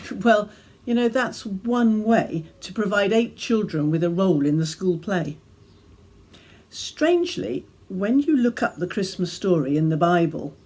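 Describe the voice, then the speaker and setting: A woman reading a devotional reflection as gentle, measured storytelling with deliberate pauses. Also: mid-range voice. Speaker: reader of her own devotional reflection. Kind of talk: scripted devotional reflection, storytelling read aloud.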